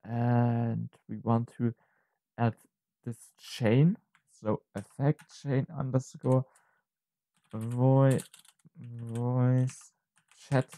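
A man gives an unscripted screen-recorded tutorial at an unhurried pace. His voice is soft-edged and mellow, and he explains each step hesitantly, often halting to find the next words. The sound is close and dry. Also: medium pitch, little pitch movement, no room sound. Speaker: tutorial presenter.

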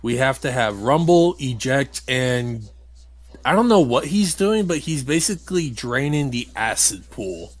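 A man talks casually over a video game he is playing, at a medium pitch. He has a grumbling, critical streak and swears mildly as he explains things like a let's play host. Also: lively intonation, a little slow.

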